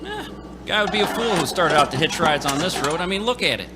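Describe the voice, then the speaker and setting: An actor playing a folksy, talkative country gas station attendant in a radio play, speaking colloquially. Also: male voice, high-pitched, animated, fast, heavy background noise. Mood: easygoing, folksy. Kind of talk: radio play character acting. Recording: stage radio play with music.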